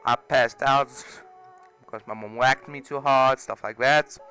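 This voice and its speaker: A man's voice: medium pitch, steady tone, measured pace, pushed and bright, smooth. A young man, telling a personal story casually with exaggerated comic emphasis, over music. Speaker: video creator.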